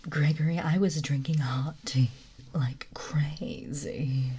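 A woman with a very breathy, husky whisper that sits deep and raspy. She speaks slowly and gently in a close ASMR stream, giggling often between lines. Her tone is soft and mellow. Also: steady tone.

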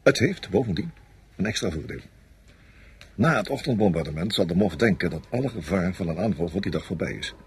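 An actor playing a confident, coldly calculating senior French army officer in a radio play, speaking with matter-of-fact authority. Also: male voice, deep voice, animated, fairly quick, slightly rough, heavily acted. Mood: commanding. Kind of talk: Dutch radio play acting.

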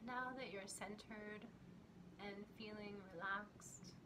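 A woman leading a guided meditation in a soothing, gentle manner, leaving long pauses between short phrases. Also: medium pitch, steady tone. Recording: clearly noisy recording with ambient sound.

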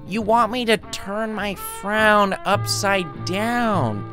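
A man's voice: sits high, drawn-out words, over music, pitched above the performer's own voice. A man voicing a heartbroken, self-pitying young male art student in a story, grumbling with melodrama and sardonic bite. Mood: exasperated.

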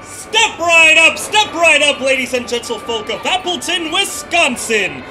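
A brash carnival barker, played by a man, drawls out his showman patter in a high, swooping voice. The lines come slow and sing-song. Music plays underneath him in this radio drama. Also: big pitch swings, heavily acted. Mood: hyped. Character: brash carnival barker announcing a magic show.

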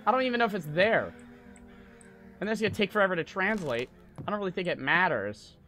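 A young man streaming a horror game, panicking, swearing and yelling in fright while reading notes aloud. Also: high-pitched, expressive, fast talker, soft background music. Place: small room at home. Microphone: desk mic on stand.

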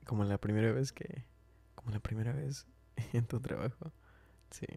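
A man playing a smitten, tender and teasing best friend in an ASMR roleplay, speaking softly and hesitantly, often whispering and repeating himself. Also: medium pitch, moderate pace, no echo. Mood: gently teasing. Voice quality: soft, breathy, often whispering. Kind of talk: ASMR roleplay, intimate chat.

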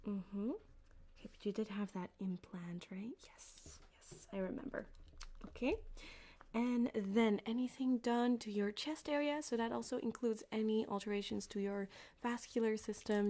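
A woman voicing a flirtatious, sweetly menacing yandere cyberpunk doctor in an ASMR roleplay, soft-spoken and halting, often slipping into a whisper, close on a binaural mic. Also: mid-range voice. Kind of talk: ASMR roleplay addressed to the listener. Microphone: close binaural microphone.

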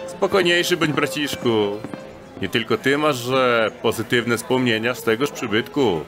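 A man playing a confident thief posing as a gentleman in a fantasy audio drama, with pompous, archaic phrasing. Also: mid-range voice, moderate pace, music in the background, close to an everyday voice. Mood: smug.

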